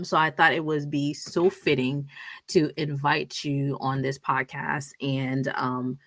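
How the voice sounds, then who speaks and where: A woman hosts a casual podcast with a chatty, animated delivery full of fillers and playful asides. Her voice sits somewhat low, recorded dry and close with no room sound. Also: no echo. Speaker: podcast host.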